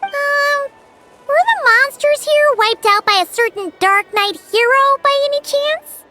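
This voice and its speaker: A woman voicing a tiny, curious, excitable fairy companion who talks about herself in the third person, in a game cutscene. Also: very high-pitched, unhurried, loud music behind the voice. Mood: chirpy.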